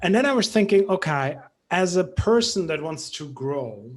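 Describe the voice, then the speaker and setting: A man's voice: high-pitched, expressive, normal speed. Casual man hosting a panel. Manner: casual, thinking aloud with fillers. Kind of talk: panel discussion.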